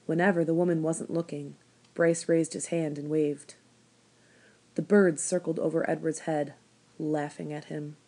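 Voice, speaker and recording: A woman reading a children's novel aloud as expressive scripted narration. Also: deep voice. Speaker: storybook reader, school staff member.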